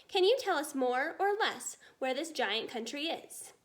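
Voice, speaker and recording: A composed storybook queen played by a woman reader who gives orders with polite, brisk authority. The voice is high and richly varied in pitch. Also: lively intonation. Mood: commanding, tactful.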